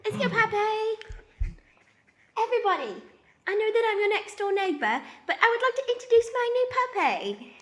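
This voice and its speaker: A woman's voice: extremely high pitch, big pitch swings, moderate pace, a bit bright, heavily acted. A woman playing a cheery, doting next-door neighbour with a gushing, sing-song delivery in an improvised radio play. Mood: chirpy, doting. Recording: roomy, echoey voice recording.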